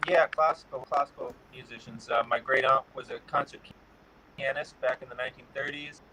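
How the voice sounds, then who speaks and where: Middle-aged guest from Connecticut, bright forceful tone, relaxed halting answers, faint hiss. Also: male voice, medium pitch, low-quality recording. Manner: relaxed, pauses with many "uh" fillers. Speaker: guest, guitar builder. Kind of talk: casual interview answers.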